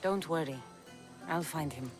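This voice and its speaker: A woman's voice: deep voice, unhurried, music in the background. A woman playing a fierce, earnest young Greek mercenary and protective daughter in a dramatic video game. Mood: businesslike.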